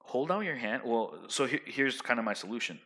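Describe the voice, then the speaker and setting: A young man giving casual social advice to his viewers in a loud, emphatic, rambling way, full of restarts. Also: medium pitch, very animated, a little fast.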